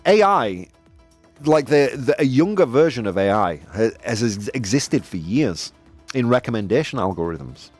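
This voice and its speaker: A man hosting a casual opinion show, talking in an animated, rambling way with lots of fillers and self-corrections. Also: lowish voice. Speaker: podcast host. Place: Spain.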